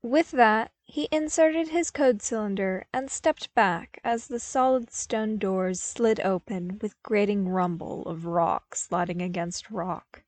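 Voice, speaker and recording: A woman narrates scripted fiction in the manner of an audiobook. Her pitch sits in the middle for a woman. The recording is very dry, without audible room. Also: slow pace, few pauses, darkish tone, no echo. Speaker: podfic narrator.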